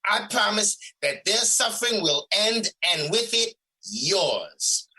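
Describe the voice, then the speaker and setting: A woman's voice: medium pitch, very animated, slow, sharp tone, husky, full character voice, rough recording. A woman acting in an audio drama as a solemn figure swearing an oath. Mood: solemn vow. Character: solemn female figure swearing to end suffering.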